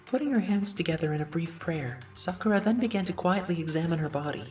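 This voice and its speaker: A woman's voice: on the low side, lively intonation, fast talker, a little mellow, distant mic, soft background music, close to an everyday voice. A woman voicing an earnest teenage schoolboy who narrates his story in an anime fan dub. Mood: earnest narration.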